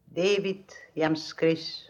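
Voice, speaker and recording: An actress playing a stern, blunt older great-aunt in a radio play, with a commanding, dryly sarcastic delivery. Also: female voice, low voice, fairly even intonation, darkish tone, natural voice. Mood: stern, guarded. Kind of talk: 1950s radio theatre acting. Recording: dull 1950s radio archive recording.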